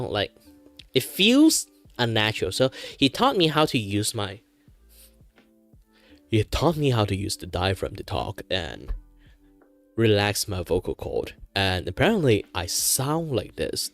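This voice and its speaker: Relaxed deep-voiced Malaysian streamer man. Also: creaky, no room sound. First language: Chinese. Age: 30s. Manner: chatty, filler-heavy, laughs often. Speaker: streamer and aspiring voice actor. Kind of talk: casual chat while drawing on stream.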